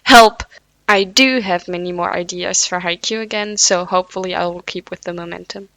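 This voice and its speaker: A woman's voice: on the low side, moderate pace, fairly bright tone, no echo, rough recording. A woman reading fanfiction aloud at home, with casual, self-deprecating asides; English is not her first language. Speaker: podfic reader.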